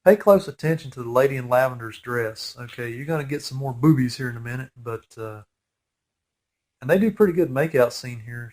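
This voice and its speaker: A man's voice: mid-range voice, a little mellow. A man in his forties with a nasal head cold, giving a casual, rambling fan commentary over a movie with geeky enthusiasm.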